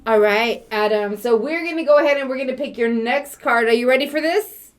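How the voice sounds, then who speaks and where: A woman's voice: fairly high pitch, a little fast, a little hiss or hum. A young woman hosting a casual, playful interview and teasing her guest. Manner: playful, teasing, laughs often. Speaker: host. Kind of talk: casual tipsy interview chat.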